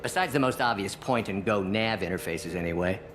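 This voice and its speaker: A man playing an eager, chatty, deferential software shopkeeper in a video game, polite and enthusiastic. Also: fairly low pitch, a little fast, soft background music, close to an everyday voice. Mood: eager, impressed.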